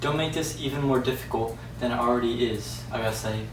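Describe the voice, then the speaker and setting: A man playing a respectful but quietly defiant servant in amateur readers' theatre. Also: mid-range voice, fairly quick, close to an everyday voice. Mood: regretful. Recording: distant, echoey, faintly noisy room recording.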